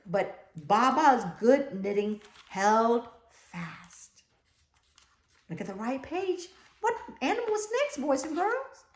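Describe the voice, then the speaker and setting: A woman reads a picture book to young children in a high, very lively voice. Her delivery is slow and expressive, drawing listeners in with animated asides. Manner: animated, exclaiming, prompting listeners with questions. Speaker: read-aloud storyteller for children. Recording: echoey room.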